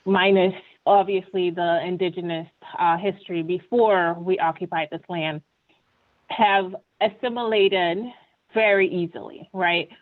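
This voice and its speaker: A woman from Ghana, giving an earnest, conversational webinar presentation and often checking in with "right?". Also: medium pitch. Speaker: webinar panelist. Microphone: video call. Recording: tinny video call audio.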